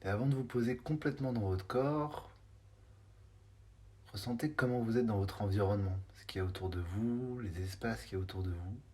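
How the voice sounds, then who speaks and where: Low-pitched man guiding meditation. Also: dark tone. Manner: unhurried, hesitant, spaced-out short phrases. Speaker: meditation guide. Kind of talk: guided body scan meditation.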